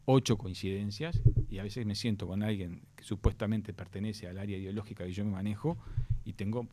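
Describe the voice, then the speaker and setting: A man's voice: fairly low pitch, steady tone, fairly quick, dark tone, a little hiss or hum. A middle-aged man with a Uruguayan accent, a guest on a radio talk show, explaining education policy earnestly with frequent restarts. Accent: Uruguayan Spanish. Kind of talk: radio interview answers.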